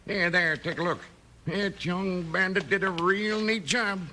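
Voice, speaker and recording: A man's voice: sits high, fairly even intonation, a little slow, bright, forceful tone. A man playing a fussy, self-important small-town banker in a 1950s radio western. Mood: officious. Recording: slightly noisy vintage radio recording.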